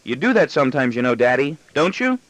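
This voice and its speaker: A man's voice: mid-range voice, sing-song, brisk, fairly bright tone, no room sound, voice pushed up. A man voicing a bossy, argumentative small boy with childlike insistence in an audiobook story. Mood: indignant. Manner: indignant, insistent, childlike pestering.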